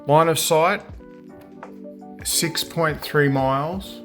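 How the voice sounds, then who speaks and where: A man's voice: a little high, drawn-out words, a bit bright, faint music behind. A man giving a casual hands-on product review in a plain-spoken, matter-of-fact way.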